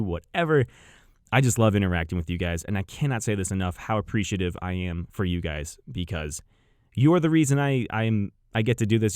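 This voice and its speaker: A man's voice: lowish voice, a little mellow, no room sound, clean studio sound. An American man hosting a game review channel, chatting casually and unscripted to viewers with gushing enthusiasm.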